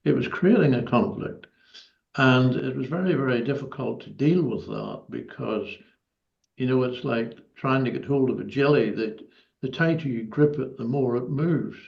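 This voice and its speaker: An elderly man with a Northern Irish accent recounting his personal history as a guest, in a measured, articulate way. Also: mid-range voice, gravelly, lo-fi sound. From Northern Ireland. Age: 70s or older. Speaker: interview guest, former army officer.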